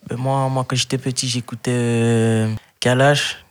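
A man's voice: mid-range voice, level tone, a little slow, clean tone. A sixteen-year-old boy from Martinique, a young rapper talking casually in an interview. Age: teens, sixteen. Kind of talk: casual chat.

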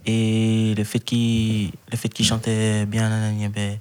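A teenage male from Martinique chats casually as an interviewee. His voice is fairly low in pitch, and he speaks informally as a beginning rapper. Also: monotone, takes it slowly, darkish tone, clean tone. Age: teens, sixteen. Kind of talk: casual chat.

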